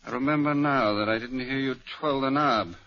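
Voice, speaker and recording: A haughty rich man, scornful and cutting. His tone is bright and forward. It is heard through a muffled old radio broadcast. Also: mid-range voice, moderate pace. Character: arrogant rich man accusing his valet. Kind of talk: 1940s radio acting.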